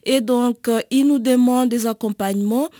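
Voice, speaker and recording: A woman with a Congolese accent, an interview guest explaining her experience in a measured way with hesitant pauses. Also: a little high, fairly even intonation, warm tone, no room sound, studio quality. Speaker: nun and volunteer, interview guest.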